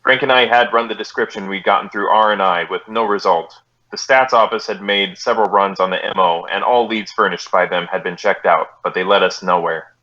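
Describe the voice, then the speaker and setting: A man's voice: fairly low pitch, a little fast, bright, forceful tone, highs cut off. An American man playing a terse, businesslike police detective in a clipped, matter-of-fact 1950s radio drama style. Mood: flat, official. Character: terse, businesslike male police detective, narrating his case.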